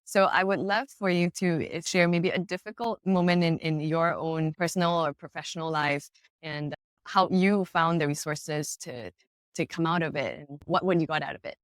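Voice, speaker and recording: Woman host, lowish voice, encouraging interviewer, dry close mic. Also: steady tone, moderate pace, no echo. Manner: affirming, asks open questions. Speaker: podcast host.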